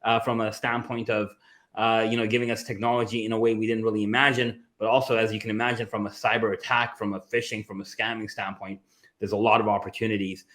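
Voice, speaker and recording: Guy with a low-ish voice, casual tech talk, lots of you know and like. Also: little pitch movement, fast, fairly bright tone. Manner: filler-heavy, says "you know" and "like" a lot. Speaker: security researcher co-host.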